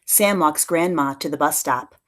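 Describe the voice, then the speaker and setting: A woman with a slightly low voice reads a picture book aloud. She tells the story expressively, as a teacher would to young pupils, lightly acting out the dialogue. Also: normal speed, a bit bright, a little hiss or hum.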